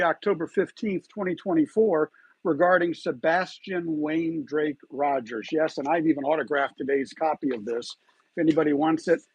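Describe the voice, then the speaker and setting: A man with a husky, fairly high voice delivers a scripted commentary monologue to his online audience. His pitch swings widely as he leans on rhetorical questions, and the recording is dry and close. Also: low-quality recording. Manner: emphatic, rhetorical, keeps asking "you feel me there?". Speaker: YouTube channel host.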